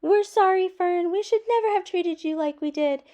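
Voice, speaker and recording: Woman voicing an excitable, high-pitched young girl unicorn. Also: normal speed. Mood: gushing apology. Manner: breathless, exclamatory, earnest pleading. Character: excitable young female unicorns, pleading and gushing.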